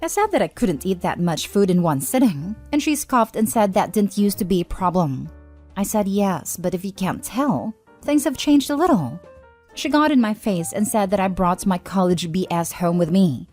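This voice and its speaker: A woman reading online stories aloud as a scripted narration, with measured, neatly enunciated delivery. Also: medium pitch, a little mellow. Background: background music.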